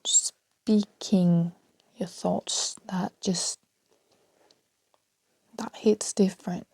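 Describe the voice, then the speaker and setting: A woman with a Danish accent talks unhurriedly through her unscripted podcast, letting her voice sink into a breathy half-whisper again and again. Her tone is mellow and low-key. The sound is close and dry, with no room around it. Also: steady tone, very slow, no room sound, poor audio. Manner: rambling, soft, often half-whispered, laughs between thoughts. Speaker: podcast host. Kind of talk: unscripted solo podcast rambling.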